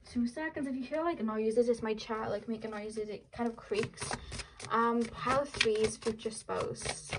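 A woman's voice: a little high, fairly even intonation, a little slow, background noise. A young woman with a Welsh accent giving a casual tarot reading, chatting in a rambling way full of fillers and "like". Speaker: tarot reader.

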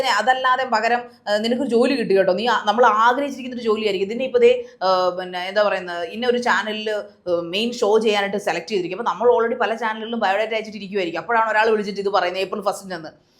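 A woman giving conversational, emphatic commentary as a discussion panelist, mixing in English words. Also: on the high side.

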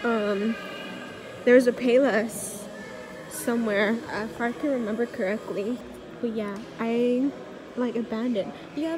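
A young woman vlogging casually in a large indoor mall, chatty and pleading excitedly at a claw machine, recorded on a handheld phone. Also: fairly high pitch, noisy background. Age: young adult. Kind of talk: casual vlog commentary. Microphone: phone camera at arm's length.

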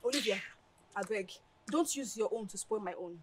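An actress playing a money-hungry, excitable young woman who pleads and repeats herself, with a Nigerian accent, in a screen drama. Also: medium pitch, normal speed, noisy background, lightly acted. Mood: coaxing, pleading. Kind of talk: naturalistic screen drama acting with Pidgin phrasing.

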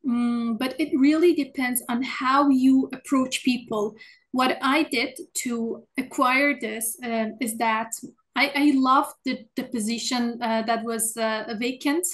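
A woman's voice: fairly high pitch, fairly even intonation, slow. A woman speaking as an alumna on a webinar panel over a video call, answering earnestly with frequent hesitations. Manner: earnest, hesitant, many uh fillers.

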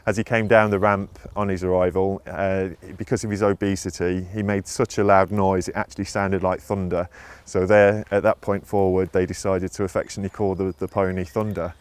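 Deep, flat-voiced man telling a story. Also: brisk, clean tone, no echo. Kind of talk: storytelling narration.

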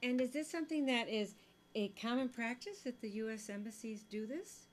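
A woman's voice: on the high side, animated, moderate pace, slight background noise. Middle-aged woman hosting a TV talk show. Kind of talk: scripted TV interview intro. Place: TV studio at a desk. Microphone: desk microphone.

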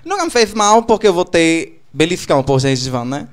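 A man's voice: fairly high pitch, big pitch swings, brisk. A young man in his twenties from Alagoas, Brazil, talking in a campy, theatrical way into a handheld mic in a small TV studio. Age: 20s. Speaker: drag persona guest. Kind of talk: playful interview banter. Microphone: handheld microphone.

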